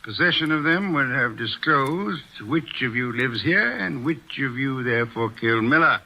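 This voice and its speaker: An actor playing a portly, fastidious male detective in a vintage radio drama, precise and pompous. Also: mid-range voice, expressive. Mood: dry, deductive. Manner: precise, pompous, coolly logical. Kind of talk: 1940s radio acting. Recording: dull lo-fi old radio recording.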